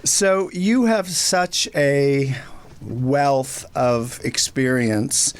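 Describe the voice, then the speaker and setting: A man's voice: mid-range voice, very slow, clean tone. A man hosting an interview show, introducing his guest and asking long, meandering questions. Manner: friendly, meandering questions with fillers. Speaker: talk show host.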